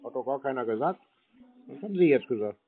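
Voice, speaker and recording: Husky man on a phone line. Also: mid-range voice, lively intonation, fast talker. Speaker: scam baiter posing as customer. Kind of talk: phone conversation.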